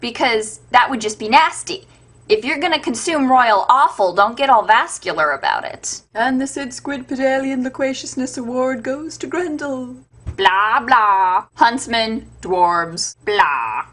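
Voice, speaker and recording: Woman, higher-pitched voice, sarcastic, sweary, comedic scripted storytelling, irreverent web host. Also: animated, measured pace, fairly bright tone. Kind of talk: comedic irreverent fairytale retelling, scripted narration.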